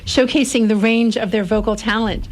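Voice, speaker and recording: Higher-voiced woman over background noise. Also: steady tone, moderate pace, rough recording. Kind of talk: casual radio interview. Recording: clear background noise with room ambience.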